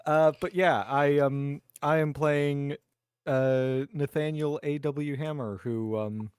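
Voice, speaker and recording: A man's voice: a little high, takes it slowly, smooth, no echo, studio quality. A man chatting casually as a tabletop game player, wordy and self-deprecating in his humour. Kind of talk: casual chat.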